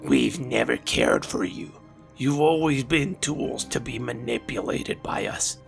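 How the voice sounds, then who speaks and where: A man's voice: medium pitch, wildly swooping pitch, a little slow, gravelly, over music, pitched below the performer's own voice, full character voice. A man voicing a cruel, contemptuous undead assassin with a menacing sneer in a fantasy story.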